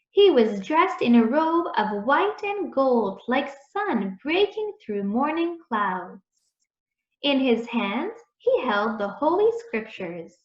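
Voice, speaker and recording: Slow, high-voiced woman reading a children's story. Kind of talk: read-aloud storytelling, scripted narration. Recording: echoey room, dull muffled sound.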